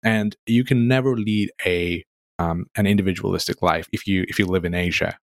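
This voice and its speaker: A young man from Nepal, hosting an interview in a relaxed, chatty way with lots of "um" and "like". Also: deep voice, moderate pace, no room sound. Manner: relaxed, chatty, many fillers like "um" and "like". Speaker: podcast host. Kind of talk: casual interview conversation.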